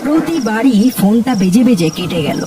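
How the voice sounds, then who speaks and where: A narrator telling a spooky animated story in a scripted storytelling style. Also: medium pitch, moderate pace, far from the mic, noisy background.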